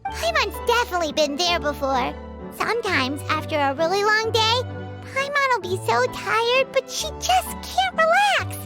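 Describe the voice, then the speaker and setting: Tiny fairy girl, very high squeaky voice, lively swooping intonation, peppy game character, loud tinkly music. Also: moderate pace. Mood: weary, sympathetic. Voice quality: squeaky, childlike. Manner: refers to self in third person, peppy. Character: small childlike fairy companion girl, earnest and excitable. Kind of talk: video game voice acting.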